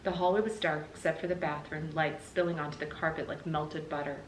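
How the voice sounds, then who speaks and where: A woman's voice: low-pitched, fairly even intonation, brisk, far from the mic. American woman reading aloud in an echoey room. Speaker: teacher reading aloud, channel host. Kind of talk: children's book narration. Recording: roomy, noticeable background hiss.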